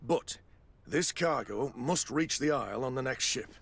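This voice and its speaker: An actor playing a smooth-talking, evasive official in a video game, formal and polite but demanding. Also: male voice, medium pitch, lively intonation, unhurried, fairly bright tone, a little hiss or hum. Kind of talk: video game cutscene acting.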